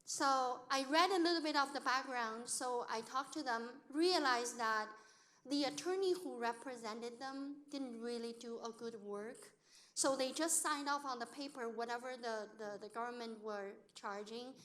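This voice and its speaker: High-voiced Chinese-native woman telling stories. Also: moderate pace. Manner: lively storyteller who acts out quoted speech, in non-native grammar. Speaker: accountant and business owner speaking to an audience. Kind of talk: personal storytelling talk.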